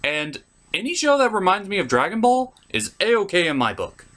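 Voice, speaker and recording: A man's voice: sits high, very animated, moderate pace, bright, forceful tone. A man hosting a scripted comedic cartoon review, theatrical and opinionated with crude turns of phrase. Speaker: cartoon reviewer, show host. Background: music bed.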